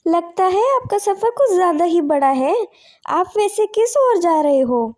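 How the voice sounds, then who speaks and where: A woman's voice: extremely high pitch, lively intonation, measured pace, darkish tone. A woman voicing a kindly, troubled village mother who speaks politely and entreatingly in a cartoon moral story. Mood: curious, polite. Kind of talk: animated moral story dialogue.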